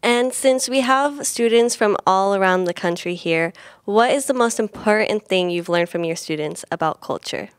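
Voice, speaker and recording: Smooth-voiced teen girl reporter. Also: medium pitch, moderate pace, dry recording, studio quality. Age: teens. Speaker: student reporter. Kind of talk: interviewing teachers. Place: classroom indoors. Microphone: handheld mic.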